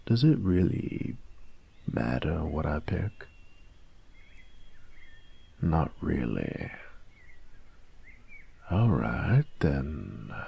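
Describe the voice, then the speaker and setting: A man playing a gruff young bully in an audio roleplay, husky and gravelly, talking in halting slang with feigned indifference. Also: rumbling low, a little mellow, full character voice. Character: gruff young male bully, secretly curious about nerdy games. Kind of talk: intimate one-sided audio roleplay, casual dialogue.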